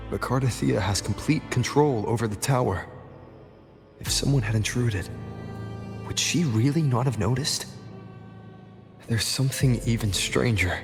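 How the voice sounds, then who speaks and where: A man's voice: medium pitch, natural voice. A man voicing a serious, resolute young adventurer in a video game, pensive and earnest. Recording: music behind, long hall reverb.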